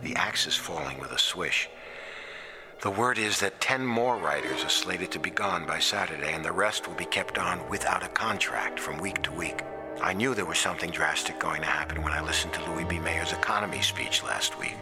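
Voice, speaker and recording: Radio drama: nervous screenwriter, deep voice, confiding diary delivery, music underneath. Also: male voice, moderate pace. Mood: worried, restrained. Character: anxious male screenwriter reading his 1933 diary aloud.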